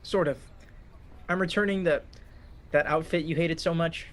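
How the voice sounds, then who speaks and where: Man playing an awkward, higher-voiced young student. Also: fairly even intonation, moderate pace, a bit bright, lightly acted. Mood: sheepish, wry. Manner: hesitant, casual. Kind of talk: radio-play script reading.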